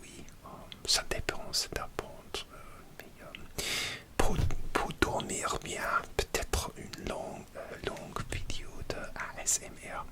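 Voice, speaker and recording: A man whispering a casual ASMR chat, halting and hesitant, often pausing to search for words. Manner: halting, hesitant, many fillers and restarts; slips in grammar, searches for words. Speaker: ASMR creator.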